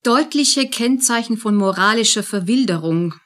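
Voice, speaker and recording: A woman reading scripted narration in a measured, composed manner. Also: fairly high pitch, lively intonation, a bit bright.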